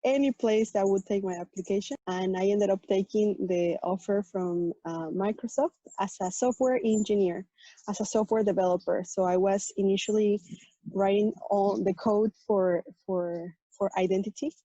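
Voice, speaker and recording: A woman's voice: mid-range voice, normal speed, dark tone, no echo. A woman from Mexico with a Mexican accent, Spanish her first language, earnestly explaining her work and story with fillers and restarts. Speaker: tech program manager, panel guest. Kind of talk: personal story on a virtual panel. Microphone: video call. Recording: dull lo-fi video call audio.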